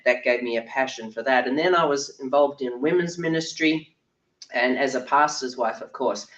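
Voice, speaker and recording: An older woman from Australia giving a chatty conference workshop talk, her voice croaky and low from a cold, often clearing her throat. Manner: chatty, self-deprecating, frequent ums and throat clearing. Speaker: workshop speaker. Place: echoey conference room. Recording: roomy, distant, dull recording.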